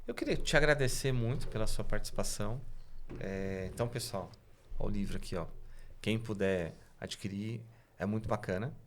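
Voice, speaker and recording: A man's voice: lowish voice, moderate pace. Guy hosting a casual chat show, friendly, keeps asking "right?", bit of faint noise behind. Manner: friendly, with repeated tag questions. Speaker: host.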